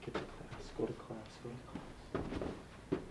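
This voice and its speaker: A man's voice: slow, close to an everyday voice. Man acting a bewildered hungover college student. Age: young adult. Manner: bewildered, muttering. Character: confused, hungover young male college student. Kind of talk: student stage skit. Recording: echoey room from far off, hissy.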